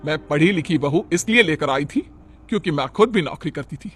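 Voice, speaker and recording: A man's voice: a little high, expressive, brisk, fairly bright tone, faint music behind, voice pushed down. A man voicing a stern, self-important older working mother-in-law who scolds, in a cartoon moral story. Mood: indignant.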